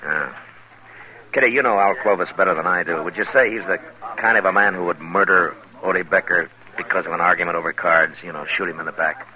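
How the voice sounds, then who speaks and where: Deep-voiced, forceful Western marshal. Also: male voice, fairly quick. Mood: probing. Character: watchful, lonely US marshal of the Old West. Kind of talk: 1950s radio western acting. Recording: dull, hissy old radio recording.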